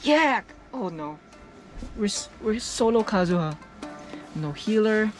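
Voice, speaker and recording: Animated young woman streamer over game music. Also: mid-range voice, slow. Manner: excitable, playful exclamations. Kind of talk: casual game reaction commentary.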